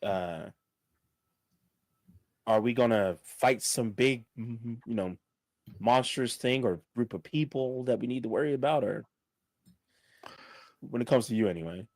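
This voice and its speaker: A man's voice: medium pitch, a little slow, long pauses, darkish tone, no room sound. Tentative casual man, dry close sound. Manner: tentative, informal. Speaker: tabletop game player. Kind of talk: casual in-character improvised chat.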